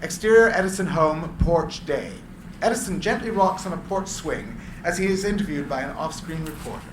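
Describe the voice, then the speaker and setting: A man reading screenplay stage directions aloud as a narrator, in deliberate, theatrical phrases. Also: fairly high pitch. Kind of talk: dramatic live script reading. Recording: echoey room with clear background noise.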